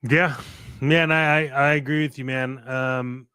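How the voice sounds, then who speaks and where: A man's voice: mid-range voice, level tone, unhurried, bright, forceful tone, clean tone, no echo. An American man co-hosting a podcast, chatting casually in a laid-back, informal way. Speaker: podcast co-host.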